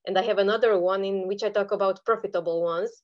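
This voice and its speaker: A woman's voice: mid-range voice, fairly even intonation, fast talker, lo-fi sound. A woman from Romania with a Romanian accent, answering conversationally as an interview guest. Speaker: podcast guest, online seller.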